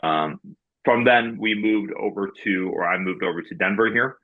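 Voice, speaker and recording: Deep-voiced raised man, muffled audio. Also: moderate pace. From Norway. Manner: many fillers and restarts, earnest storytelling. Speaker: guest. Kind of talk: podcast interview.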